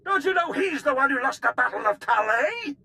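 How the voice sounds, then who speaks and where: An actor playing an unhinged, incoherent old military officer in a video game, ranting with paranoia and erratic bursts of shouting. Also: male voice, extremely high pitch, animated, brisk, exaggerated voice. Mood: accusing, outraged. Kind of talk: theatrical video game voice acting.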